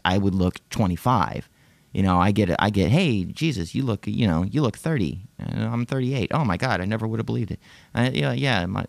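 A man's voice: fairly low pitch, gravelly, no echo. A man in his late thirties, rambling and profane in casual self-deprecating podcast chat. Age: 30s. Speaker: podcast host.

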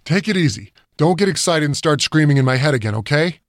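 A man's voice: fairly high pitch, animated, fast talker, no room sound, voice pushed up, close to an everyday voice. A man voicing a frightened, infected man who talks tense and blunt.

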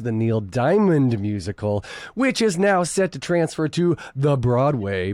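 A man hosting an interview podcast, enthusiastic and chatty, his voice a little hoarse as he recovers from illness. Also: medium pitch, a little mellow, no room sound. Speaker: podcast host. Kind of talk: casual interview chat.

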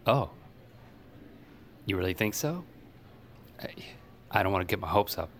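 Shy naive new dispatcher, stammers a lot, lowish voice, bit of noise behind. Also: male voice, expressive, unhurried, fairly bright tone, exaggerated voice. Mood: naive wonder. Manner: stammering, hesitant. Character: timid, naive, eager new male 911 dispatcher. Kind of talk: fiction podcast acting.

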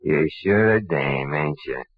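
An actor playing a cold, gloating American gangster with a rough voice in a 1940s radio crime drama. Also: male voice, a bass voice, expressive, a little slow, dry recording, exaggerated voice. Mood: gloating, sneering. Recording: muffled old radio recording.

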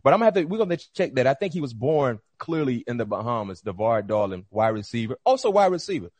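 A man's voice: mid-range voice, big pitch swings, quick pace, a little mellow, no room sound. A middle-aged American man, a former athlete, casually hosting a sports interview. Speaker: show host, former football player.

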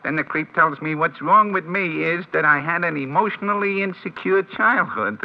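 A streetwise bookie from a muffled old radio crime show. His voice is fairly high and bouncy, chummy and amused, full of clipped colloquial talk. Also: animated, moderate pace. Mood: amused, incredulous. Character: chummy, slangy, streetwise male bookmaker. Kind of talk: 1950s radio drama acting. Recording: muffled vintage radio recording.

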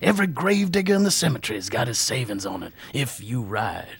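A sly, menacing gravedigger, with a gravelly, husky voice at a middling pitch. He drawls slowly and sinisterly, coaxing and wheedling in a radio drama. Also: sing-song, heavily acted.

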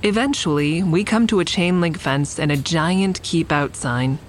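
A woman's voice: low-pitched, a little slow, a little hiss or hum. A woman playing a wary survivor who narrates in the first person in an audio drama, introspective in manner. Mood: wary, reflective. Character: wary shooting survivor narrating a nighttime adventure.

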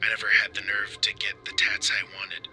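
A shaken man recounting an eerie hotel stay in an audio drama. His lowish voice is very bright and tense, and he goes very slowly, halting and hesitating conversationally. Faint music plays underneath. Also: completely flat delivery, low-quality recording. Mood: awed, unsettled. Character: shaken, bald hotel guest insisting his nightmare was real. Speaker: tipline caller. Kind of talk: dramatic monologue in audio fiction.

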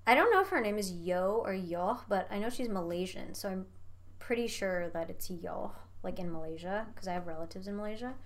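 A young woman from Indonesia, chatting casually as a guest, animated and full of "like" and "you know what I mean", with casual swearing. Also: medium pitch, fairly quick, crowd noise. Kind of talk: casual chat.

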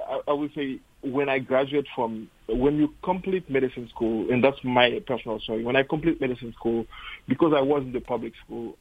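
Husky Haitian doctor on a phone line. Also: male voice, mid-range voice, no echo. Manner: hesitant, many pauses and fillers. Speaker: physician guest. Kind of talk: remote radio interview.

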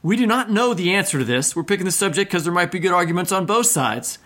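Bright, higher-voiced man co-hosting. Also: expressive, quick pace. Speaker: podcast co-host. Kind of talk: casual literary podcast conversation.

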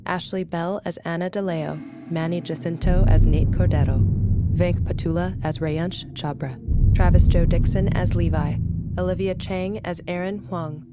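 A woman announcer reads scripted credits in a lowish voice. Her delivery is formal, steady and quite flat, set over loud music.

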